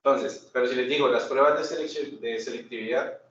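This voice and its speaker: A man's voice: mid-range voice, a little fast, a bit bright. A Colombian man presenting informally at a seminar, conversational and often checking in with short questions to his listeners. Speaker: seminar speaker. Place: indoor seminar room. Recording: very echoey room, distant muffled mic, faint noise.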